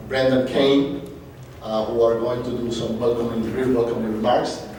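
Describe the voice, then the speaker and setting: A man's voice: medium pitch, darkish tone. A man with a cold giving polite welcoming remarks as a program director, thanking people with frequent fillers. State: has a cold. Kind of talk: welcoming speech. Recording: very echoey hall, distant mic, faint hiss.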